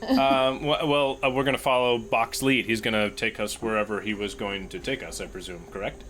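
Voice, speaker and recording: A man chatting casually as a player in a tabletop game, in a halting, conversational way. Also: lowish voice, steady tone, a bit bright. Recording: clear background noise.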